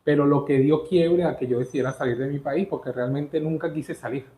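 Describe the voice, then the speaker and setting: A man from Caracas with a Venezuelan accent, telling his story as an interview guest in an earnest, halting way with many restarts. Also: a little high, dark tone, reverberant. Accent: Venezuelan Spanish.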